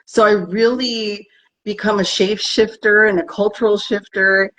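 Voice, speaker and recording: A woman's voice: medium pitch, little pitch movement, moderate pace, a bit bright, a little hiss or hum, rough recording. Earnest woman in a heartfelt interview. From United States. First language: bilingual with Tagalog. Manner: earnest, heartfelt, speaks in short phrases. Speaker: guest, herbalist business founder. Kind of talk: interview conversation.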